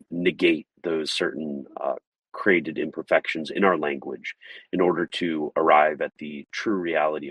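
Man, very low husky voice, dry sound, methodical livestream lecturer speaking from his car. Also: fairly bright tone, dry recording, rough recording. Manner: methodical, lays out numbered points and explains term by term. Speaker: livestream theology presenter. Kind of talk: informal spoken lecture to a small live audience. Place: inside a parked car.

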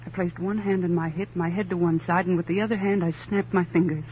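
A woman's voice: on the low side, fairly even intonation, fast talker, natural voice. An actress as a rich, flippant divorcée from a vintage radio detective show. She is arch and sardonic, with polished society phrasing over wounded pride. The recording is narrow and tinny, with a faint hum. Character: brittle, wry, wealthy divorced woman, flippant and proud. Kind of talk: 1950s radio crime drama acting. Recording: muffled old radio recording, hum and hiss.

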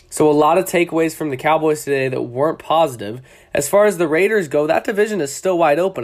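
Young man, congested, slightly high voice, casual sports podcast host, rambling, self-correcting. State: congested from a cold.

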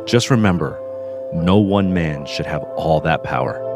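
Deep-voiced host narrating over music. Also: male voice. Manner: measured, instructive narration. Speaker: podcast host. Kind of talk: scripted narration and casual interview. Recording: music bed underneath.

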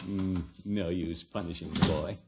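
A man's voice: low-pitched, fairly even intonation, unhurried, music in the background. An actor playing a pompous, flustered middle-aged uncle in vintage radio comedy, blustering and chuckling as he mutters asides. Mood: resigned, indulgent. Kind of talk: 1940s-50s radio comedy acting. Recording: muffled vintage radio recording.

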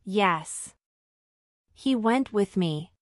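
A woman's voice: mid-range voice, lively intonation, extremely slow, no echo, studio quality. A woman playing a polite married citizenship applicant in a scripted practice interview, answering formally and carefully in full sentences. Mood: polite, composed.

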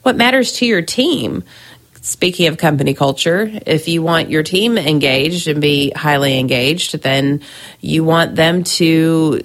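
A woman hosting her own podcast, giving chatty business advice with frequent ums while getting over a cold. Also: low voice. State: recovering from a cold, scratchy throat. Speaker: podcast host. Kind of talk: casual solo podcast advice.

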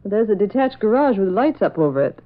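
A practical, brisk secretary played by a woman in a 1940s radio drama. She talks in a businesslike, chatty way at medium pitch, on a dull vintage recording. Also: big pitch swings, lightly acted.

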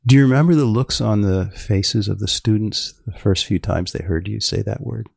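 A man hosting a science humor podcast, interviewing in a deliberate, deadpan way. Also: fairly low pitch, vocal fry, breathy edge, dry recording, clean studio sound. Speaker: podcast host.

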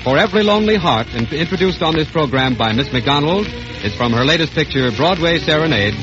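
A man's voice: a little high, expressive, brisk. An American man announcing in scripted 1930s radio sponsor style. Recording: muffled old radio broadcast with music.

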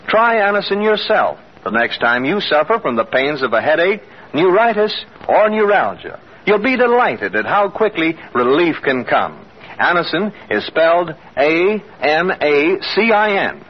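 An American male announcer reads a 1940s radio advertisement. His voice is fairly high and animated with a husky edge, on a muffled vintage broadcast recording. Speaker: commercial announcer.

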